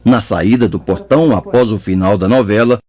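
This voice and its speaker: A man reading a novel aloud as an audiobook narrator, in a measured, even storytelling manner. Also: fairly low pitch, faint music behind.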